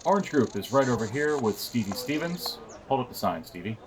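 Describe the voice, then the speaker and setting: A man's voice: medium pitch, big pitch swings, a little fast, background noise, close to an everyday voice. A man playing a youth camp leader calling attendance in a businesslike way in an audio drama.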